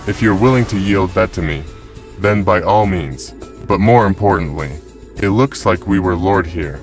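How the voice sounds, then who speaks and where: Man voicing a very low, haughty villain monarch. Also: animated, normal speed, faint music behind. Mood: cold, haughty. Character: cold, haughty villainous monarch, a calm schemer. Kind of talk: dramatic anime-style dub acting.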